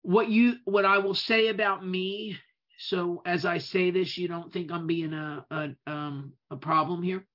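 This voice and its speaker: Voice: mid-range voice, steady tone, a little slow. A speaker whose first language is Italian, giving a casual talk on running a web business, stretching out key words for emphasis and often restarting phrases. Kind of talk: casual presentation. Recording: dull lo-fi recording.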